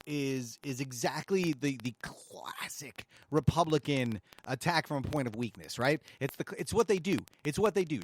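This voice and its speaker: A middle-aged man hosting a show, giving halting, sarcastic, exasperated commentary on played clips. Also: fairly high pitch, slight background noise. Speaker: podcast host, commentator.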